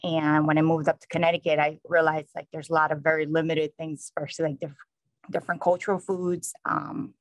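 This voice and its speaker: A man with a New York accent, a police sergeant introducing himself on a panel in a plain, conversational way with many pauses. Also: fairly high pitch, level tone, dry recording. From New York, USA.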